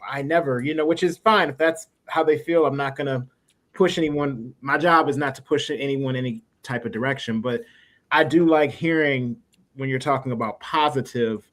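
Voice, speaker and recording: A man's voice: on the high side, normal speed, fairly bright tone. A man hosting a casual interview, talking in an encouraging, conversational way. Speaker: interview host.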